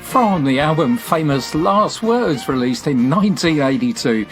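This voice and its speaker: A male radio presenter with a fairly high, very animated voice. He delivers playful scripted links for a music show, with some music faintly behind him. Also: measured pace.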